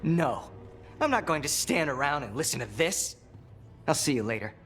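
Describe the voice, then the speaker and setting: Young male game rebel: hot-headed, accusing, high voice, light music underneath. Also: expressive, moderate pace, a bit bright. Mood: indignant. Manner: heated, accusing. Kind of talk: video game dialogue acting.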